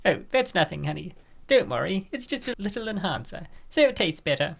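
A woman's voice: mid-range voice, expressive, fairly quick, vocal fry. A woman voicing a scheming, gluttonous young gym woman in a comic dub, coaxing and smug.

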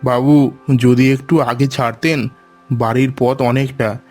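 A man voicing a gentle, worried poor village father in a cartoon. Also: medium pitch, steady tone, measured pace, faint music behind, lightly acted. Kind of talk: cartoon voice acting.

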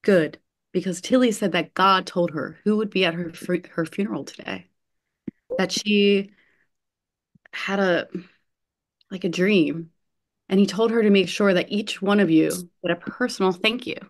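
A woman reading a halting young care worker. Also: medium pitch, natural voice. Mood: earnest. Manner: hesitant, halting with restarts. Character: young female nursing home worker, earnest orphan. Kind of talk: online play reading. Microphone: video call computer mic.

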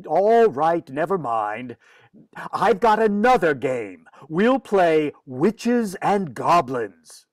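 Woman playing an exasperated radio daddy, slow and animated. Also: deep voice, dry recording, studio quality, exaggerated voice. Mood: coaxing. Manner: firm, wry, long-suffering. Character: exasperated, firm father of a mischievous girl. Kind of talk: 1940s radio comedy acting.

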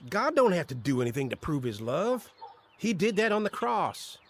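An earnest, insistent believer pressing a friend in a radio drama. His voice is fairly high and swings with lively, exhorting intonation. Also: normal speed, full character voice.

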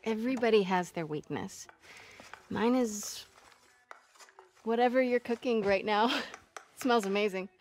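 A woman acting a young American investigator in a game cutscene. Her voice is mid-pitched and natural, with a wry, teasing, self-assured delivery. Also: measured pace, long pauses, darkish tone, slight background noise, lightly acted. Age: young adult.

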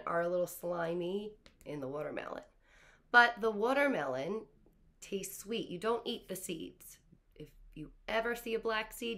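A woman in her thirties talks as a kindergarten teacher to young children. Her voice sits a bit high, and she takes her time, phrasing things simply and deliberately before a read-aloud. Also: a bit bright. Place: small living room, on a couch.

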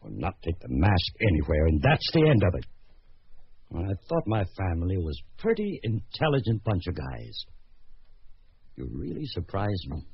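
A man's voice: low-pitched, wildly swooping pitch, moderate pace, noisy background, exaggerated voice. A man acting in a radio drama as a stubborn, scheming middle-aged businessman father, theatrical and evasive. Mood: indignant. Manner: theatrical, blustering. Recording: slightly muffled radio drama recording.

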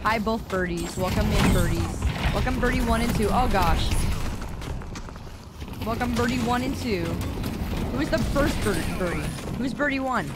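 A woman's voice: on the high side, animated, normal speed, loud music behind the voice. A young adult woman with a Midwestern American accent, chatting playfully while streaming a game, often repeating phrases in a sing-song way. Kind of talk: casual gameplay streaming chat. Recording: loud game noise underneath.

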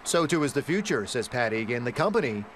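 A man with an American accent narrating a scripted TV news feature in a polished, measured broadcast delivery. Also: medium pitch, very animated, background noise. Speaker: TV news reporter.

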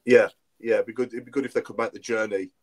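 A man's voice: on the low side, fairly even intonation, fast talker, darkish tone. A man on a casual fan podcast over a video call, putting chatty questions to the others. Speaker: podcast co-host asking questions. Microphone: video call with earphones.

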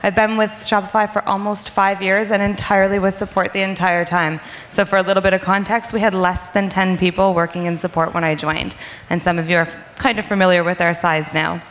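A woman's voice: mid-range voice, little pitch movement, fairly quick, fairly bright tone. A woman in her thirties, a support director moderating a conference stage panel through a handheld mic, friendly and casual with fillers. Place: conference stage, seated in armchair before audience. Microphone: handheld microphone.

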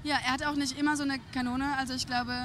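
A woman guiding a tour of an indie games area, explaining games in a relaxed, casual way. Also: high-pitched, fast talker, slight background noise. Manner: relaxed, explanatory, a few hesitations. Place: busy indoor trade-fair hall.

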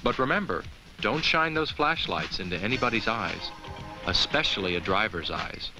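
Friendly low-voiced talking pumpkin. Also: male voice, lively intonation, moderate pace, over music, low-quality recording. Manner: earnest, reassuring, simply explaining to children. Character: friendly talking Halloween jack-o'-lantern, kindly and earnest. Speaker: narrator. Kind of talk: scripted children's educational film narration.

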